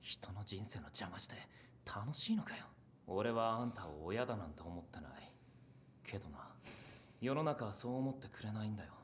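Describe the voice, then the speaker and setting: A man's voice: lowish voice, animated, racing, slight background noise, close to an everyday voice. An actor playing a distraught, bitter yet earnest young man in a Japanese anime drama. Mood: bitter anger. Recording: anime soundtrack with music behind.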